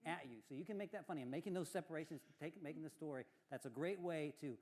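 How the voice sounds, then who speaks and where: A man's voice: on the high side, quick pace, a little mellow. A man coaching at a conference workshop, explaining ideas with frequent restarts. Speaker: speech coach. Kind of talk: workshop feedback. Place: conference room with audience.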